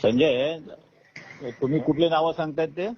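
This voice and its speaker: A man's voice: a little high, fairly even intonation, moderate pace, a little hiss or hum. A man talks informally on the phone, giving instructions in short, directive turns. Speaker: political party aide. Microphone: phone call. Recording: muffled recorded phone call.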